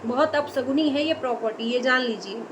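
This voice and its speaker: A woman's voice: high-pitched, brisk, noisy background. A woman delivering an impassioned commentary, indignant and scolding, full of rhetorical questions. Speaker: video commentator.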